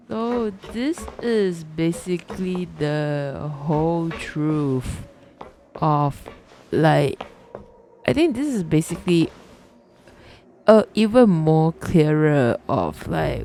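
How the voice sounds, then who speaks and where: A woman streaming a game, giving casual, halting reactions to the story. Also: low voice, mellow, slight background noise. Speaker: game livestreamer. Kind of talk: casual reaction commentary over gameplay. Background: game music.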